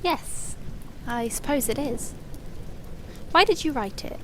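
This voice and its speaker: Woman acting as a blunt, high-voiced mysterious visitor. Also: animated, takes it slowly, slight background noise. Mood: probing. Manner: blunt, insistent. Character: mysterious freed female visitor, blunt, impatient, demanding answers. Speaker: actor in an audio drama. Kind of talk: dramatic audio fiction dialogue.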